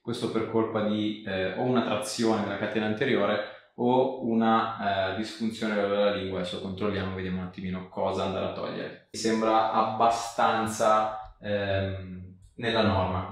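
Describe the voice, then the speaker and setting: A man working as a chiropractor, giving short, gentle instructions and explanations to a patient. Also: lowish voice, level tone, normal speed. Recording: echoey room, distant mic, background noise.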